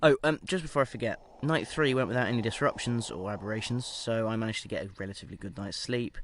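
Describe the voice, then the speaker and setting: A man's voice: on the low side, fast, natural voice. A man playing a research doctor in an audio drama, dictating an experiment log in a clinical yet conversational, slightly hesitant way. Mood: matter-of-fact, clinical. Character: male research doctor calmly logging a sinister experiment. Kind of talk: audio drama diary monologue. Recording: clear background noise and ambience.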